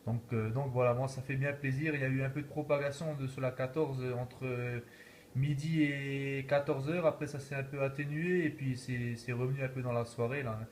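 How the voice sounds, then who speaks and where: A man's voice: mid-range voice, steady tone, brisk, darkish tone, slight background noise. A man chatting casually as an amateur radio operator, often ending his phrases with little tag words. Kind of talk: casual radio contact chat.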